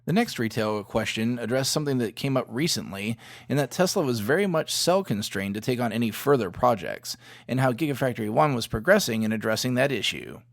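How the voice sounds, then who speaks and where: A man's voice: mid-range voice, expressive, fairly quick, creaky, no echo, studio quality. A man hosting a podcast, delivering scripted narration that links recap segments. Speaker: podcast host.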